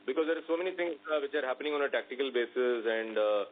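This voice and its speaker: A man with an Indian accent answers as a company executive on an earnings call, explaining business in a halting, measured way. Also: medium pitch. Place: conference call. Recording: narrow phone line with faint noise and hum.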